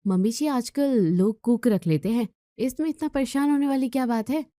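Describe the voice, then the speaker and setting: A woman's voice: fairly high pitch, normal speed, dark tone, dry recording, studio quality, voice pushed up, natural voice. A woman voicing a lazy young daughter-in-law who protests and makes whiny excuses in a storybook tale. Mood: protesting.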